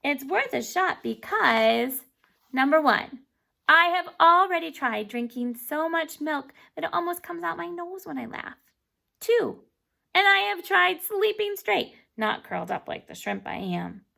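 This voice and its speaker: A woman reading a children's chapter book aloud in an animated, emphatic way, stumbling over words now and then. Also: very high voice, a little slow, creaky. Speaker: storybook reader.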